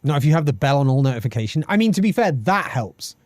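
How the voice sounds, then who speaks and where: A British man in his thirties talks loosely to his live-stream chat, swearing and laughing as he goes. His voice swoops up and down with real liveliness and sits a little on the high side. The sound is dry and close, with no room around it. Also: fast, no echo. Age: 30s. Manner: animated, swearing, reads chat aloud and laughs often. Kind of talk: casual live-stream chat with game lore reading.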